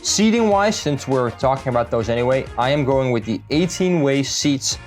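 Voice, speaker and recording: A man's voice: mid-range voice, lively intonation, moderate pace, faint music behind. A man from the Netherlands, talking through a car build in a casual, matter-of-fact vlog style as a salesman would.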